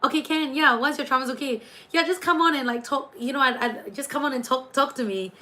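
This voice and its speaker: A woman's voice: high-pitched, a little fast. A young woman with a New Zealand accent, chatting casually with viewers during a late-night livestream as a tarot and astrology reader. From New Zealand, raised partly in Singapore. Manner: chatty, animated, reading out viewer names. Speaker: tarot and astrology reader hosting a live stream.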